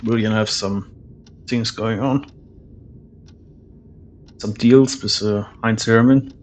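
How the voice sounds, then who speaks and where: A man's voice: fairly low pitch, steady tone, slow. A man hosting a let's play, giving casual commentary on the game as he plays.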